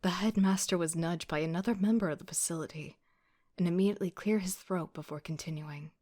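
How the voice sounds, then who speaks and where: Woman playing frazzled student girl narrating audio drama, kinda low voice, snarky and down on herself. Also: a little fast, warm tone, natural voice. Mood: wry, self-conscious. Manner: self-deprecating, sardonic. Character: agitated, exasperated young woman student narrating her own story. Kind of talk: first-person audio drama narration with outbursts.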